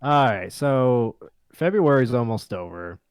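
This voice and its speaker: A man's voice: mid-range voice, expressive, measured pace. A man hosting a casual podcast, chatting with the group and reading through a list. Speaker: podcast host.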